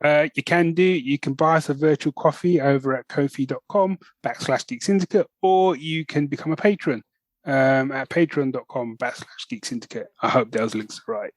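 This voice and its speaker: A man with a medium-pitched voice co-hosts a casual podcast. He speaks as an enthusiastic fan, informal and chatty, often checking that the listener follows. The sound is dry, with no room echo. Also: moderate pace. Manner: chatty, informal, often checks in with "right?" and "you know what I mean". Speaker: podcast co-host.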